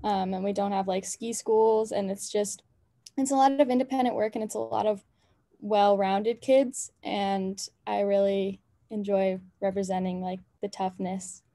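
A woman's voice: medium pitch, steady tone, measured pace, dark tone, no room sound, clean studio sound. A young adult woman, a college athlete, answering press questions over a video call from a dorm room, earnest with many fillers. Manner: earnest, lots of "um" and "like". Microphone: laptop webcam on a video call.

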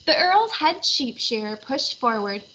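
A woman reading a children's storybook aloud in an animated narration style. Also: high-pitched, a little slow, breathy edge. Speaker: storybook reader. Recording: slightly muffled, faint background noise.

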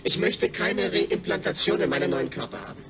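A man's voice: medium pitch, very animated, fairly quick, vocal fry, background noise. A man playing an eager, needy astronaut's brain speaking emphatically through a speech synthesizer in a radio drama. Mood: insistent. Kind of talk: German radio drama acting.

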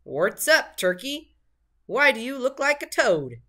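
A woman's voice: fairly high pitch, big pitch swings, unhurried, fairly bright tone, voice pushed up. A woman voicing a helpful, encouraging storybook cow in an emphatic way. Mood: surprised, encouraging.

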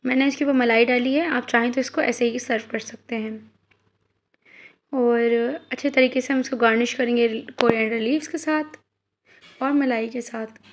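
High-voiced woman narrating a recipe. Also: steady tone, normal speed, slight background noise. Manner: explains each step, addresses viewers directly. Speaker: cooking channel host. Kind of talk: step-by-step recipe voice-over.

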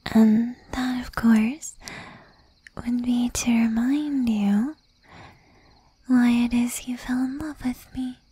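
A woman's voice: on the high side, steady tone, no room sound, full character voice. Woman playing a whispering possessive demon girlfriend. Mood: tender, coaxing. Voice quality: soft, breathy whisper. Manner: slow, intimate, menacing yet doting. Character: jealous, possessive young female demon girlfriend, shy, tender. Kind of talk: whispered ASMR roleplay.

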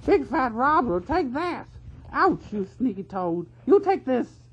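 A man voicing a pair of quarrelling weasel guards in a storybook reading, in a shrill, yelping manner. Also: fairly even intonation, moderate pace, a little mellow. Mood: brawling. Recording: dull lo-fi recording with faint hum.

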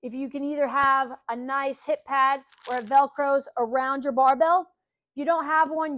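A woman's voice: sits high, no echo. A woman in her thirties, a fitness coach, giving an upbeat, instructive tutorial in an indoor gym, demonstrating lifts as she talks. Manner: upbeat, instructive, checks in with "okay?". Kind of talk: exercise tutorial.

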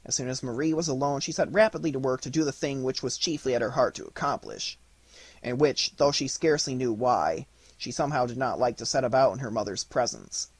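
A man's voice: medium pitch, brisk, breathy edge, no room sound. A man reading an audiobook, giving scripted storybook narration. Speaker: audiobook reader.

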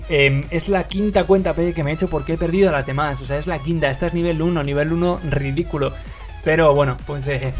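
A young man from Madrid with a Spain Spanish accent, hosting a livestream and commentating a mobile game match with lots of fillers. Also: a little high. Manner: excitable play-by-play, many fillers. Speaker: livestream host and caster.

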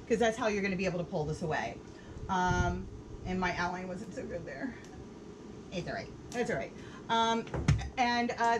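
A woman's voice: mid-range voice, background noise. A woman in her thirties giving a casual, upbeat how-to demonstration while working inside a small RV, with plenty of fillers as she goes. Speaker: RV renovation vlogger. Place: inside a small RV under renovation. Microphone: camera mic, moving about while working.

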